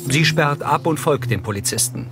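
A man's voice: a little high, lively intonation, moderate pace. A man reading scripted audio description in a neutral, matter-of-fact way. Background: loud music.

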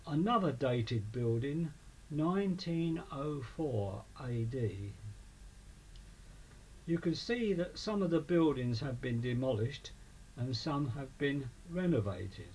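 A man with an English accent reading a scripted local history documentary in a measured manner. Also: medium pitch, darkish tone. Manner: measured, listing names and dates. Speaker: narrator of a local history film. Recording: clearly noisy amateur voice-over recording.

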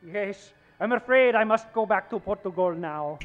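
A man's voice: high voice, lively intonation, moderate pace, phone-quality sound. A man playing an aggrieved club owner with a secret love of dresses, plaintive and theatrical, in radio comedy. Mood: confessing. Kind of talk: old-time radio comedy acting.

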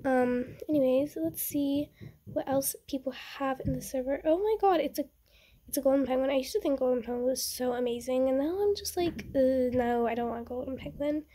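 Young woman, high and mellow, slightly stuffy nose, rambling casual gamer chatter. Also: moderate pace. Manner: chatty, rambling, easily excited. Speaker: gaming channel host. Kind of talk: casual game commentary.

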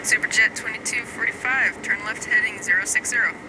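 Man, air traffic control radio calls, high pitch, businesslike, heavy roaring noise. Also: fairly even intonation, fairly quick. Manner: clipped, businesslike. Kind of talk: procedural radio instructions. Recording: radio call under loud aircraft noise.

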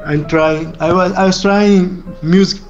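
Brazilian guy, high slow voice, dull webcam sound over loud piano. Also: fairly even intonation. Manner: enthusiastic, broken English.

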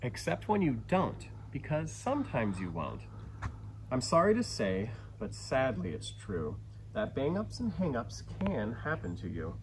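Male storyteller for rhyming kids' book, mid-range voice, highly animated and dramatic, recorded outdoors with light ambient noise. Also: measured pace. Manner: expressive, theatrical storytelling with dramatic emphasis. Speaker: outdoor education naturalist reading a children's book aloud. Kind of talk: rhyming picture book read-aloud.

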